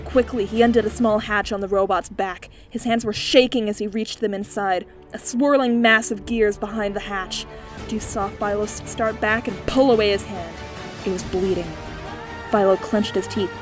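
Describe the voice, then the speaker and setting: A woman narrates a noir adventure audiobook in a steady, clear storytelling voice. Her pitch sits in the middle range. Soft music plays faintly beneath her. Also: normal speed. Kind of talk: scripted narration.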